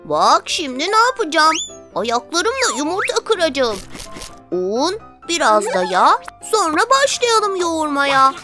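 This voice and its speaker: A woman's voice: high-pitched, big pitch swings, a little slow, over music. A woman voicing a mischievous, whiny little child creature in a children's cartoon, childish and exaggerated. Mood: cheeky. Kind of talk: children's cartoon dub, rhymed lines.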